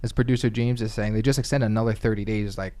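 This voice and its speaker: Young dude cohosting a podcast, chill, says like and literally a ton. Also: male voice, on the low side, steady tone, quick pace, darkish tone. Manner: easygoing, says "like" and "literally" a lot. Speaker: podcast co-host. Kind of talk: casual chat.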